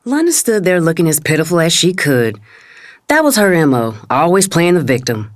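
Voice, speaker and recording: A woman's voice: sits low, big pitch swings, moderate pace. An actress playing an indignant, sassy wife and mother who narrates her family feud in an audio drama. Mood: scornful. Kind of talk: dramatic first-person story narration.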